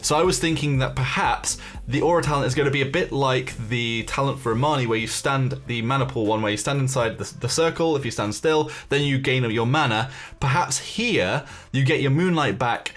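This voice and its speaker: A man with a medium-pitched voice hosts a gaming video over background music. He explains details casually, musing and speculating as he goes. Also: fairly quick, a bit bright. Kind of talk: casual game commentary, talking through details. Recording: music behind the voice.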